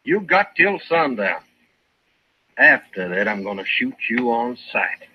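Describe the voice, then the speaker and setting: An actor playing a menacing western gunslinger in an old-time radio drama, speaking in a mocking, deliberate way. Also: male voice, mid-range voice, sing-song, breathy edge. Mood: taunting. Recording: muffled, noisy vintage radio recording.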